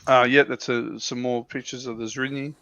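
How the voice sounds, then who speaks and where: A man chatting casually and a little haltingly as a panelist on a hobby livestream. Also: mid-range voice, flat delivery, pushed and bright, no echo. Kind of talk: casual chat about miniature wargaming. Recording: dull, muffled lo-fi audio.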